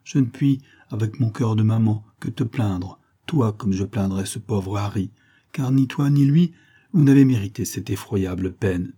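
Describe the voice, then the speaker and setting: A man voicing a kindly, sorrowful old nursemaid, speaking slowly and solemnly in a storybook reading. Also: lowish voice, slightly rough. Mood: tender grief. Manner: slow, calm, pained, solemn.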